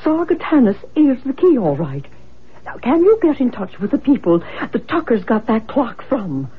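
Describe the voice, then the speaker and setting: A woman's voice: a little high, very animated, normal speed, slight background noise. A woman playing an earnest, eager medium in a radio drama, emphatic and portentous, on a tinny old broadcast. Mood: excited, portentous. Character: earnest, eager female medium researching spirits.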